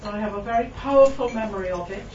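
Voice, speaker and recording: A Welsh woman poet giving a reading to an audience, chatting between poems with ums and little laughs, then reading her verse slowly. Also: mid-range voice, a little mellow. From Wales. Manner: chatty and self-mocking, with frequent ums and laughs; slow, lingering delivery when reading verse. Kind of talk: poetry reading with chatty asides. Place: room with a live audience. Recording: distant, roomy, dull recording with background noise.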